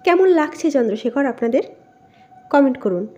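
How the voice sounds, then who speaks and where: A woman's voice: sits high, lively intonation, normal speed, a little mellow. A woman narrating a literary story as a scripted audiobook reading. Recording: dull, lo-fi voice recording.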